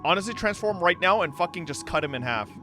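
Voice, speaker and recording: A woman's voice: fairly low pitch, animated, fast talker. A woman doing casual livestream reaction commentary, blunt and mocking, swearing and talking back to the characters. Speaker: streamer reacting to a game story. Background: game music.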